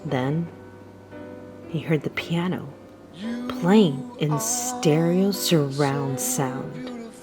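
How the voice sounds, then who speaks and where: Low-voiced woman telling a story over music. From United States, Southern California. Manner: theatrical, conversational, with dramatic pauses and Spanish asides. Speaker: storyteller host. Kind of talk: personal scripted storytelling. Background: music playing behind the voice.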